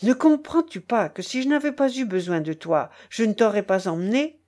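A woman's voice: mid-range voice, lively intonation, moderate pace, a little mellow, close to an everyday voice. A woman voicing a brutal, commanding male smuggler in an audiobook, harsh, mocking and imperious in manner. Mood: stern, menacing. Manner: harsh, imperious, growling orders. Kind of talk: dramatic audiobook character voice.

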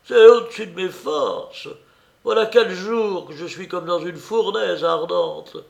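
An agitated old woman, acted out by a male reader, pitched well up with a gravelly edge. She is a blunt, loving storybook aunt who scolds and fusses, repeating her words with emphasis. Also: very animated, a little slow, full character voice. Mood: distraught, indignant. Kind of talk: dramatised audiobook reading.

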